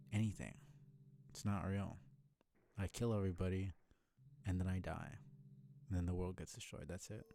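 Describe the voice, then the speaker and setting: A man commentates casually over a game he is playing. His voice is low and soft-edged with a good deal of fry, and he talks in a blunt, grumbling way. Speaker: game streamer.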